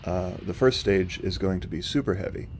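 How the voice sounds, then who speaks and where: Calm low-voiced man hosting a livestream. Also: slight background noise. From not from North Carolina. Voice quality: slightly rough voice. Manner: calm, level, unhurried with fillers. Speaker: live stream host. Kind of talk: casual livestream commentary answering chat. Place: home.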